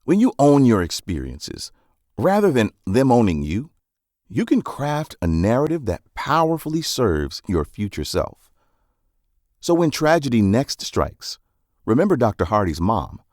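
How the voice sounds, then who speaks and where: A man's voice: medium pitch, wildly swooping pitch, measured pace, a little mellow, no room sound, clean studio sound. A man reading a scripted non-fiction book summary as narrator, with polished, emphatic phrasing.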